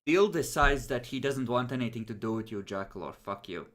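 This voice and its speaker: A man's voice: medium pitch, animated, brisk, fairly bright tone. A man talking casually and wryly as a player building his character for a tabletop role-playing game, swearing now and then.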